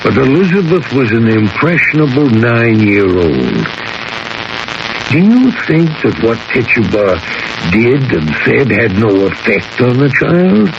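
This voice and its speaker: Grave priest, earnest and probing, lively intonation, 1970s radio drama, hissy broadcast. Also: male voice, mid-range voice, gravelly, lo-fi sound. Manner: measured, earnest, probing questions with deliberate emphasis.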